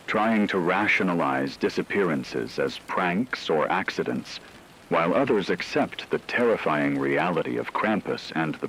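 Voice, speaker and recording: Man playing a deep, grave radio horror reporter. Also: fairly bright tone, slight background noise, heavily acted, rough recording. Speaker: radio news anchor. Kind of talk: scripted dramatic radio news narration.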